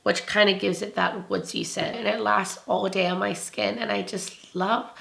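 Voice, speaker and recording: A young woman with a hoarse voice from laryngitis, gushing about beauty products in a bubbly, casual review. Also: medium pitch, steady tone, moderate pace, fairly bright tone. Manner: bubbly, gushing, laughs often. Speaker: beauty vlogger.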